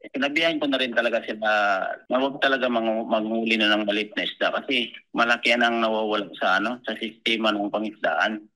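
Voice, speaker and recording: A man with a Filipino accent answering interview questions as a guest, in a halting, plain-spoken, polite way. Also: mid-range voice, sharp tone, low-quality recording. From Philippines. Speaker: commercial fisherman, interview guest.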